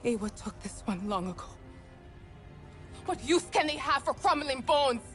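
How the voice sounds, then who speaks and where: An actress playing a stern, proud alien clan warrior in a video game, speaking in terse, grave statements. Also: female voice, high-pitched, expressive, moderate pace, faint music behind. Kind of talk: video game cutscene acting.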